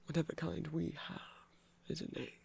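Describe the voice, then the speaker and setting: A man voicing a gentle trickster god in an ASMR roleplay, whispering slowly and soothingly in a breathy, creaky voice with refined, hesitant phrasing. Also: fairly high pitch, flat delivery, exaggerated voice. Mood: quiet, wry.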